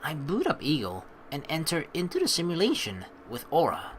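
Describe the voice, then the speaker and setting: A let's play host giving rambling, excitable commentary on a story game and reading its text aloud. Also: mid-range voice, normal speed, slight background noise. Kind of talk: game playthrough commentary with dramatic read-aloud. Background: game music.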